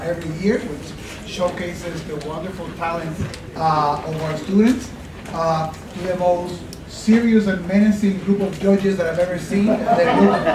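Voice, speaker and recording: A man's voice: sits high, background noise. A middle-aged man, a college president, giving casual ceremonial remarks at a podium with many fillers. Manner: warm, gesturing, with frequent fillers. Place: college event room. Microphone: podium mic. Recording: echoey room, distant mic, audience.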